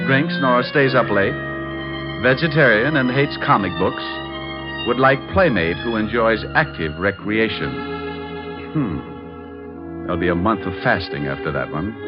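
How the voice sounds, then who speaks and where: A man's voice: medium pitch, lightly acted. An American man playing a sardonic, world-weary private detective in old-time radio drama, dry and flippant. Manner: dry, flippant, sarcastic asides. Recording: muffled vintage radio recording.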